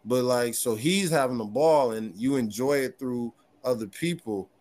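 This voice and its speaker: A man talks in a casual podcast conversation, his voice thin and of middling pitch. He rambles, circling back and restarting his thoughts as he goes. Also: normal speed, darkish tone. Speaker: guest hip hop artist.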